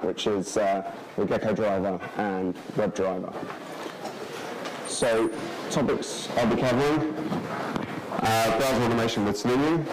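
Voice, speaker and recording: Low-voiced man giving a tech talk. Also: noisy background. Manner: relaxed, with many fillers and restarts. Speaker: conference speaker. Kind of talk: technical conference talk. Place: large lecture hall.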